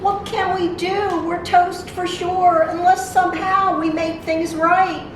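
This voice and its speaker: A woman's voice: very high voice, little pitch movement, slow pace, voice pushed up. A woman voicing a dismayed, earnest young boy from a storybook in a plaintive way, in a very echoey room. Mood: dismayed, worried. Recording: very echoey room, distant mic, noisy.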